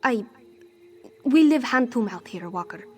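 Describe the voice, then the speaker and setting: A woman's voice: a little high, expressive, measured pace, a little hiss or hum. A woman voicing an anxious, street-hardened female cat-folk thief in a fantasy game, defensive and speaking in formal, contraction-free phrasing. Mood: bleak, earnest. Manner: refers to herself in third person, formal contraction-free phrasing. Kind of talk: fantasy game character dialogue.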